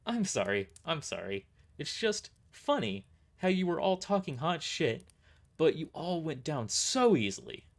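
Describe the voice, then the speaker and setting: A man's voice: on the high side, sing-song, unhurried, voice pushed up. Man playing a cocky, taunting young woman mercenary. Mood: mocking. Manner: taunting, smug, blunt.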